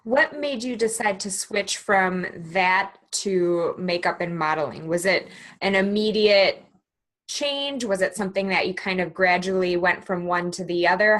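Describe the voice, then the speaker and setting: A woman's voice: on the low side, a bit bright. A woman hosting a podcast in an upbeat, chatty way, affirming her guest and asking follow-up questions. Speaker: podcast host. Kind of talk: casual interview hosting.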